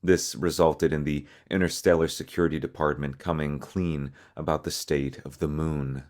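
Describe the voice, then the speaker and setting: A man's voice: extremely low pitch, fairly even intonation, moderate pace, darkish tone. A man hosting a video, narrating and explaining a fictional horror story.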